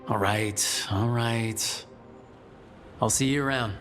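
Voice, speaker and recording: A man's voice: mid-range voice, takes it slowly, music in the background, lightly acted. An actor playing a wry, encouraging male leader of a fantasy party in a video game. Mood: friendly teasing.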